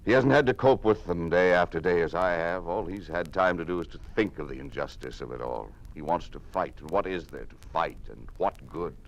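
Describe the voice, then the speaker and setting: An actor playing a weary, burdened government official in an old-time radio drama, with a grave, measured delivery. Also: male voice, deep voice, expressive, creaky, poor audio. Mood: weary, resigned. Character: weary, burdened official resisting a tyrannical regime.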